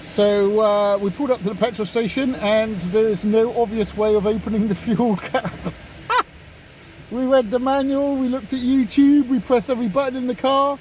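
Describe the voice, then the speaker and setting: A man's voice: extremely high pitch, steady tone, normal speed, a little mellow, poor audio. A man chatting casually as he vlogs about his car, with some background noise from cars and surroundings. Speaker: car vlogger. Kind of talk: casual vlog chat.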